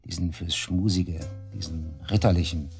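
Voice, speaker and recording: Man acting sneering, very deep-voiced murder suspect. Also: fairly even intonation, normal speed, a little mellow, soft background music, close to an everyday voice. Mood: confiding, matter-of-fact. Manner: taunting, cynical, coarse colloquial talk. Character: cocky, sneering male murder suspect, shady club worker. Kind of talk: German radio drama acting, interrogation dialogue.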